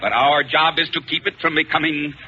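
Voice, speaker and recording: An actor playing a shrewd, wry intelligence colonel with assured authority in a 1950s radio serial. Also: male voice, fairly high pitch, fairly even intonation, a little fast, sharp tone. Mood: earnest. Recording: muffled, hissy 1950s radio recording.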